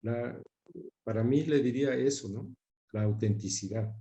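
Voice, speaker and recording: Measured older man, dark mellow voice. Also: mid-range voice. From Ecuador. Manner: measured, repeats words for emphasis. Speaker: interviewee, son of a broadcaster. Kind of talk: reflective interview answers.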